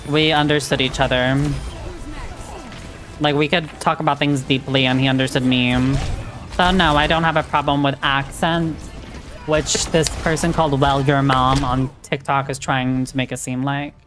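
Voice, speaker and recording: A woman's voice: extremely low pitch, fairly even intonation, moderate pace, music in the background. A woman streamer chatting casually and frankly while she plays a game, with lots of filler words. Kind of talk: casual chat while gaming.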